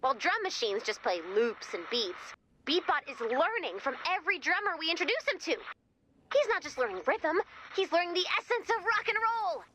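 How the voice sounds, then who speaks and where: A woman playing a perky, excitable robot who gushes like a nerdy music superfan in a video game. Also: very high-pitched, normal speed, slight background noise, heavily acted. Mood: earnest, proud. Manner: gushing, quippy, theatrical. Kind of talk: video game character dialogue.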